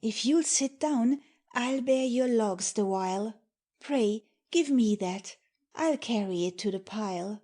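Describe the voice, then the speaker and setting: A woman's voice: a little high, dark tone. A woman playing an innocent, modest, lovestruck young woman in a dramatic Shakespeare audiobook, speaking verse earnestly. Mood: tender concern. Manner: slow, earnest delivery of verse.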